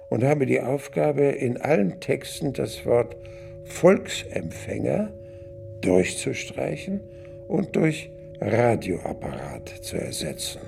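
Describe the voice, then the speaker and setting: An actor playing a man recounting childhood memories, narrating deliberately and expressively in a literary radio play. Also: medium pitch, creaky, exaggerated voice. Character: man recounting boyhood memories of his father.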